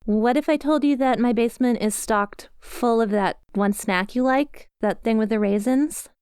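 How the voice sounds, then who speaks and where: A woman's voice: on the high side, normal speed, darkish tone, clear, resonant tone, no room sound, clean studio sound, natural voice. A woman playing a bubbly, inept young yandere schoolgirl in an ASMR roleplay, chatty, melodramatic and wheedling as she talks to her crush. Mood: hopeful, coaxing.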